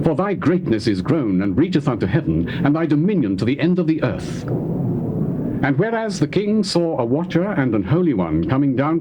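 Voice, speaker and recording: An earnest, reverent prophet played by a man speaks with formal, measured declamation in a scripture drama. The middle-pitched voice swings expressively, over a clearly noisy old tape. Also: natural voice. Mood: reverent, grave. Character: reverent, earnest Hebrew prophet counselling a king. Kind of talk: dramatized scripture reading, theatrical acting.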